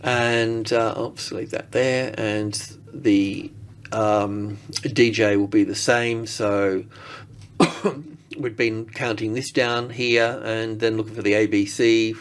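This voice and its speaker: A man's voice: lowish voice, steady tone, slow. A man giving casual trading commentary as a market analyst, thinking aloud in a rambling way and often rephrasing himself.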